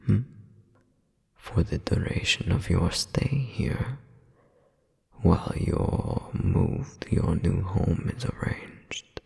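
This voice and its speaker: A man playing a cold, menacing royal demon brother in an audio roleplay, haughty and deliberate, with a breathy, husky voice. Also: fairly low pitch, dark tone, full character voice. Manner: haughty, slow, deliberate, veiled threats. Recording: long reverberant hall echo.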